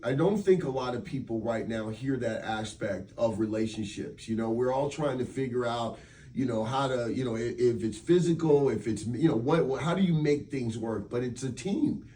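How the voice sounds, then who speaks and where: A man's voice: medium pitch, normal speed, mellow, recorded from a distance. A man hosting a radio interview in a casual, chummy, rambling conversational way. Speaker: radio show host.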